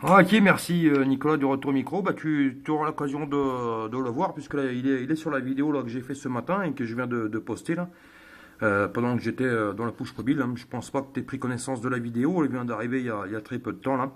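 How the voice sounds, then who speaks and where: A man's voice: mid-range voice, fast talker. A man talking casually as an amateur radio operator, hesitantly, often repeating his phrases.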